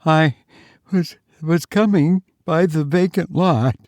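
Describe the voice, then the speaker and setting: A storybook daughter in sheer terror, acted out by a male narrator. She speaks high and breathlessly, in halting, panted fragments that stumble over themselves. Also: a little mellow, no echo, clean studio sound. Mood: terrified. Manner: halting, panting, repeating words. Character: terrified, breathless daughter, gasping out her fright.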